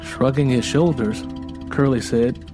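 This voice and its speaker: Measured male narrator with mid-range voice. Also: mid-range voice, music in the background. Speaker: narrator and writer. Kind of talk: scripted audio drama narration.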